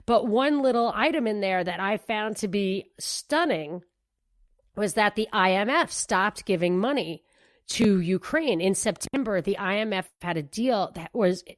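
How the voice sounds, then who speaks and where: A woman co-hosting a podcast, her voice at an ordinary pitch and recorded dry and close. She talks fast and excitedly, circling back and restarting her sentences as she goes. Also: no room sound. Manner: animated, rambling, restarts mid-thought. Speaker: podcast co-host. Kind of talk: casual political commentary.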